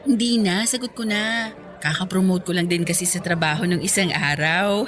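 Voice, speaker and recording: A woman's voice: lowish voice, steady tone, normal speed, a bit bright, soft background music, close to an everyday voice. An actress playing a chatty, candid single career woman, breezy and teasing with her friend in radio drama dialogue. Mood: breezy, self-mocking.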